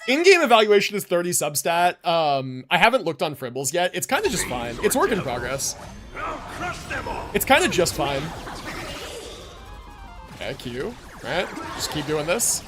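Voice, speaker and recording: A man with a high, very animated voice is streaming a game. He talks casually, works out his moves aloud and answers chat, with plenty of slang and swearing. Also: moderate pace, long pauses, over music. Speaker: game livestreamer. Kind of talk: casual gameplay commentary with chat replies.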